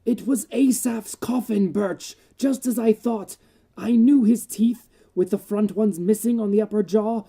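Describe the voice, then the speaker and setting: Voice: medium pitch, unhurried, gravelly, warm tone, breathy edge, voice pushed up. A narrator voicing a horrified, agitated old male village doctor in a gothic horror reading, urgent and emphatic. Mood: aghast. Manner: urgent, aghast, emphatic delivery.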